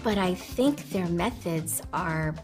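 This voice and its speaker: Woman doing a concerned manager, lowish voice, music under, a bit distant. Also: animated, slow pace. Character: worried female staff manager. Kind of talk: mock-serious ad testimonial. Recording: music bed, voice set back from mic.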